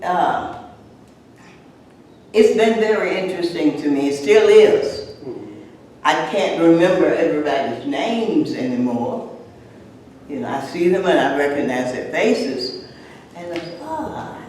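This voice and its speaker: An older woman from Texas, raised in Chicago, a retired teacher and actress reminiscing as a guest, halting and repeating words with frequent chuckles. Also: lowish voice, animated, a little mellow, a little hiss or hum. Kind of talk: reminiscing at an event. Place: large indoor room. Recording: echoey room, mic far off.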